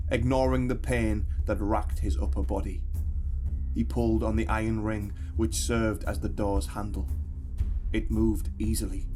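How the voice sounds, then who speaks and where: A man narrating a scripted dark fantasy tale in a grave, dramatic storytelling manner. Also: fairly low pitch. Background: background music.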